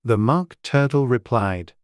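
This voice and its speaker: A woman's voice: extremely low pitch, lively intonation, unhurried, darkish tone, no room sound, clean studio sound. A woman reading scripted storybook narration as an audiobook narrator.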